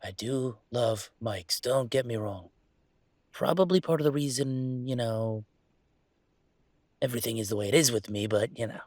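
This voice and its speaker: A man playing an upset young werewolf venting about his father in an intimate audio roleplay, speaking in a halting, emotional, rambling way. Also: medium pitch, a little mellow, dry recording, close to an everyday voice. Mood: wry, rambling.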